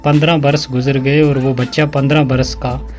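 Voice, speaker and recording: A man's voice: mid-range voice, little pitch movement, normal speed, darkish tone. A man narrating a scripted moral tale as a storyteller. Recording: music behind the voice.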